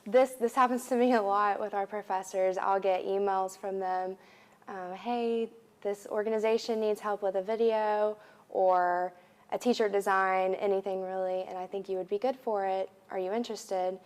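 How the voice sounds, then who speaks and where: Soft-spoken young woman student. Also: medium pitch, steady tone, normal speed. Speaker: graduate student guest. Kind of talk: casual interview answers.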